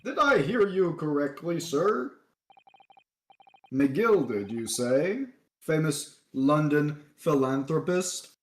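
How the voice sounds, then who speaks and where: A man's voice: fairly high pitch, expressive, slow pace, reverberant. Man doing a blustering, astonished old judge. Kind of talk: fan-dub courtroom game read-aloud.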